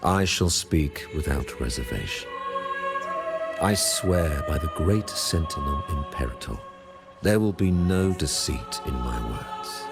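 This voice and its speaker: An actor voicing a stern, solemn religious ruler in a video game, with formal, ceremonial and pompous oratory. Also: male voice, very deep voice, animated, slow pace, over loud music, full character voice. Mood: solemn, measured.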